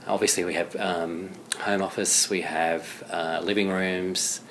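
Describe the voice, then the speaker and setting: Very low-voiced man, noisy background. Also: level tone, a little slow, fairly bright tone. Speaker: dealer giving testimonial. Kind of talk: unscripted testimonial. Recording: clear background ambient noise.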